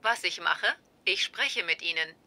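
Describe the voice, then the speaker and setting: A woman's voice: fairly low pitch, measured pace. A woman playing a polite, formal, literal-minded phone assistant in a dubbed sitcom. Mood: polite, obliging. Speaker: voice actor in a sitcom dub. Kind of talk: German-dubbed sitcom dialogue. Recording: noisy sitcom soundtrack with clicks.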